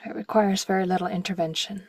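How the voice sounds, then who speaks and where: A woman leading a guided meditation slowly and gently in short, soft phrases, with a breathy voice that at times nears a whisper. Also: mid-range voice, steady tone, dry recording, studio quality. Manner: slow, gentle, with long pauses and short phrases.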